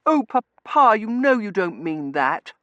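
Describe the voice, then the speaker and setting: A man puts on a pampered young Victorian lady for an audiobook. The voice sits very high and swoops dramatically. It gushes and coos in a babyish way. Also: sing-song, unhurried, pushed and bright, no room sound, muffled recording, exaggerated voice. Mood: coaxing, cooing. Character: spoiled gushing young woman, pampered Victorian daughter. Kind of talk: audiobook character voice.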